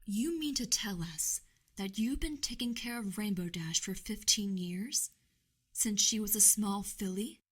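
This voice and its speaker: A woman voicing a breathy regal pony princess. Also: medium pitch, unhurried. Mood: surprised. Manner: calm and formal.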